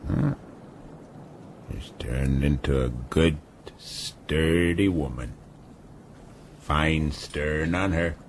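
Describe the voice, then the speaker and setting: A brutal ship's captain brought low by illness, still defiant and mocking. The voice is deep and very slow, deliberate in every phrase, in a radio drama with some background noise. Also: male voice, exaggerated voice. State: ailing, weakened. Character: brutal, sardonic ship's captain, ailing and half-paralyzed, defiant.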